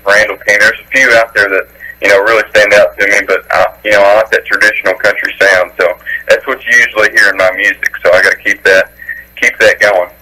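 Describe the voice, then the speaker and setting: Young guy, deep baritone, rambling and folksy, radio interview. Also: sharp tone, low-quality recording. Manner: rambling, folksy, with many fillers and restarts. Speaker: country singer guest.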